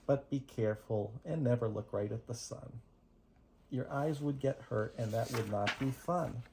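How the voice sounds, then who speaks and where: A parent reading rhyming picture books aloud to a toddler in a deliberate sing-song rhythm, in a home recording. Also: mid-range voice, darkish tone. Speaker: parent reading bedtime stories. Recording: noisy amateur home recording.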